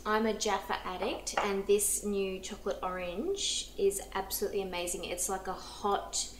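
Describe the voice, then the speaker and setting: A woman's voice: medium pitch, fairly even intonation, normal speed. A middle-aged woman with an Australian accent co-hosting a cooking demo, gushing and effusive about the food. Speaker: cooking show host. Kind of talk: cooking demonstration. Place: home kitchen studio. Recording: echoey kitchen with clear background noise.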